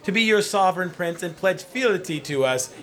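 A man plays an imperious duke in a radio play. His fairly high voice proclaims each phrase with pompous ceremony. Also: lively intonation, normal speed, a bit bright. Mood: solemn, imperious. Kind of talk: audio drama acting.

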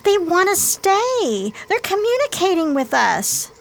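Curious woman scientist, eager, earnest, high-pitched, slow delivery, radio drama. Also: very animated, gravelly, exaggerated voice. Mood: excited. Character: eager, curious female scientist on alien expedition.

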